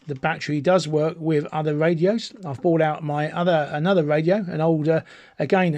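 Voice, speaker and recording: A British man with a slightly high-pitched voice, recorded dry with very little room sound. He talks like an enthusiastic hobbyist presenting a home demonstration, informal and chatty, rambling along as he explains. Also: a little mellow, no echo. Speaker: amateur radio enthusiast presenting a video. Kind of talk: informal product demonstration to camera.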